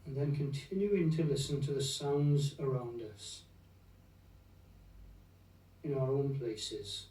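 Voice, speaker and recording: Mellow, soothing male meditation guide. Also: medium pitch. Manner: gentle, unhurried, soothing, with long pauses. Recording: roomy echo, distant from the mic.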